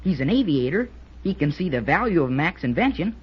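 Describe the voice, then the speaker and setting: Jerry, an earnest schoolboy, muffled recording. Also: male voice, on the high side, big pitch swings, fairly quick, breathy edge. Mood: eager, determined. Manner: boyish, eager, folksy slang. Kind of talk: 1940s radio acting. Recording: noisy, dull old radio recording.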